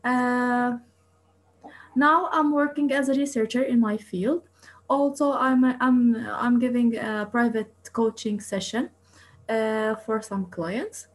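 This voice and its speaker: Higher-voiced woman. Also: steady tone, slow pace. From East Jerusalem. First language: Arabic. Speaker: interview guest, coach and researcher. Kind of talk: interview answers.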